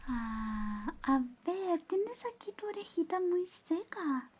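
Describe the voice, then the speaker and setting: A woman performing a soft-spoken, partly whispered ASMR roleplay as an affectionate, teasing best friend, coy and doting. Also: very high-pitched, big pitch swings, slow pace. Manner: coy, playful, doting, murmuring little interjections. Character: affectionate, teasing, jealous young female best friend in love with the listener.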